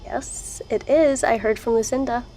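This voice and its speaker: An actress playing a wry, matter-of-fact researcher and archivist in an audio drama. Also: female voice, fairly high pitch, measured pace, warm tone, slight background noise. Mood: pointed, wry.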